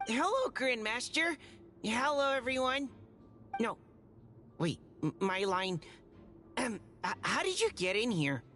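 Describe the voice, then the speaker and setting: Shy boy knight, fairly high voice, lively intonation, hesitant, earnest, video game. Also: slow pace, pauses often, heavily acted. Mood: flustered. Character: shy, nervous, polite young boy knight. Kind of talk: video game character acting.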